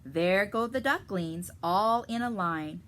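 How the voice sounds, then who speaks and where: A woman reading a picture book aloud to children in a teacherly way, pausing to explain and ask them questions. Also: medium pitch. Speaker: story reader for children.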